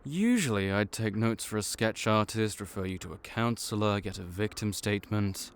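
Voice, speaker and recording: A man's voice: fairly low pitch, animated, moderate pace, heavy background noise. A man voicing a male vampire intake officer in an audio roleplay, matter-of-fact and wry as he walks through the paperwork. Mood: businesslike.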